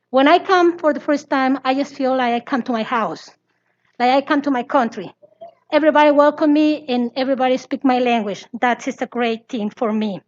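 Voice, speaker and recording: A woman with a Spanish accent, a parent giving an earnest, pleading public comment in halting English. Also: sits high, lo-fi sound. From Latin America. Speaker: parent speaking at public hearing.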